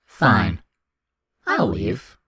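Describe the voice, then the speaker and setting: A man voicing a cold, mocking, demanding child of darkness in an audio story, speaking in formal, taunting, deliberate phrases. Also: low voice, sing-song, bright, forceful tone, breathy edge, no room sound, studio quality, exaggerated voice. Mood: cold, resigned. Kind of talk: dramatic audio fiction character reading.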